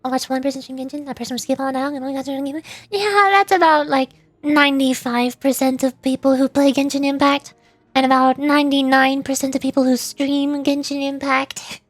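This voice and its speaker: A woman's voice: sits high, steady tone, brisk, dry recording. A woman VTuber giving casual commentary on a game stream into a close microphone.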